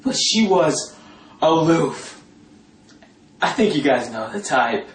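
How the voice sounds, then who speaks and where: A man telling a personal story informally in a sardonic, gossipy, coarse-mouthed and animated way. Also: fairly high pitch, takes it slowly. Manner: animated, breaking often into laughter. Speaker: storyteller talking about a past religious community. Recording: roomy echo, distant mic, faint noise.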